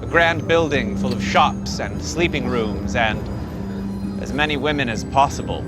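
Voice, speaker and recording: An actor on stage playing a sardonic, needling accuser who narrates dark crimes in a pointed, dramatic way. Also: male voice, medium pitch, a little slow, close to an everyday voice. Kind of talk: period stage play acting. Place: theatre stage. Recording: live theatre stage recording with music.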